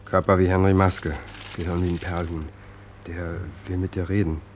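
An actor plays a homeless man with a fairly low voice in a crime radio drama. He is polite and wry, with an insistent, probing edge, over faint background noise. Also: little pitch movement, moderate pace, natural voice. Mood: courteous, wry.

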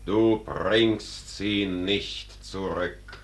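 An actor playing Death as a solemn, implacable, commanding spirit in a 1940s radio drama. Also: male voice, deep voice, steady tone, very slow. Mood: stern, unyielding. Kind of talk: 1940s German theatrical radio acting.

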